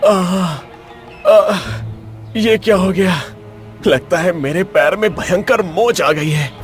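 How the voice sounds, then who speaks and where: A man as an earnest young cartoon prince, higher-pitched. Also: slow pace, soft background music. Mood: pained, worried. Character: earnest, sorrowful young prince longing for a sister. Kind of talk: dramatized cartoon story dialogue.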